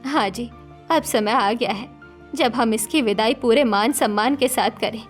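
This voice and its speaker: A woman's voice: a little high, measured pace, lightly acted. Woman as middle-aged mother, honour-minded, cartoon family drama, faint background music. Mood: content. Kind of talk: Hindi cartoon story dialogue acting.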